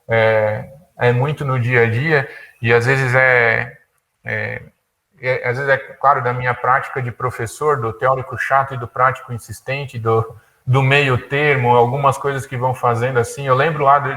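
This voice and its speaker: A man of about thirty gives an informal talk, colloquial and halting, often repeating words as he thinks. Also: mid-range voice, pushed and bright. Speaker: guest speaker, tech entrepreneur. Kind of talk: informal talk at an online conference.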